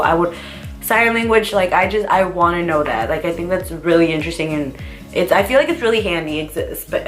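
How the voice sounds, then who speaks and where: Young woman, from the US, casual vlogger, rambling chatter, filler words, soft music behind. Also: on the low side. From United States. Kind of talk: casual tag video chat.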